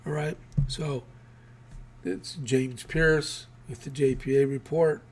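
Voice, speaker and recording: Husky-voiced Texas man rambling through news. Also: mid-range voice, fairly even intonation, slow. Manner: rambling, many fillers and restarts. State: losing his voice. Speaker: political news show host. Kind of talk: casual political commentary.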